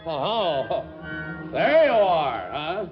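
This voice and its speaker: A blustery older husband in a 1940s radio comedy. He is indignant and sputtering, high-pitched and very animated, on a dull old broadcast. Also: drawn-out words, over music, heavily acted. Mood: smug, irritated.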